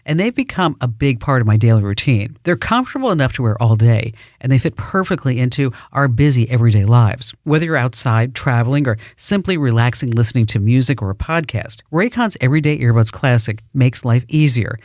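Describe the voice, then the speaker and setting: A man's voice: medium pitch, lively intonation, brisk, few pauses, darkish tone, dry recording. Man reading an upbeat sponsor ad. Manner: upbeat, personal endorsement read. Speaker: ad reader. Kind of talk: read sponsor ad. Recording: dry close studio.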